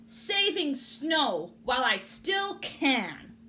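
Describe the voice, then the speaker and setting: A woman acts out a grumpy, pessimistic storybook rabbit. The voice is high and loud, swinging widely as it grumbles and complains, with faint noise behind it. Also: very animated, drawn-out words, full character voice. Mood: grumbling. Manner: grumbling, emphatic. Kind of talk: children's storybook read-aloud.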